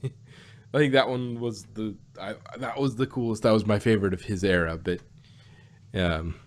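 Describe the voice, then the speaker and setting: A man's voice: fairly low pitch, fairly even intonation, normal speed. A man chatting casually as a podcast host, hesitant and often repeating and rephrasing himself as he goes. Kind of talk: casual chat.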